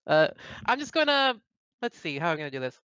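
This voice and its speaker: Bright, clear-voiced man playing along. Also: a little high, big pitch swings, fast talker, no room sound, muffled recording. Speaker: player. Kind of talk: casual tabletop roleplay chat.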